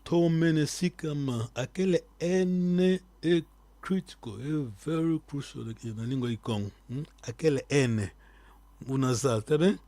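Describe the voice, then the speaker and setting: A man teaching a live online language lesson, quizzing his students and repeating key words slowly so they stick. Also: a little high, warm tone, no room sound.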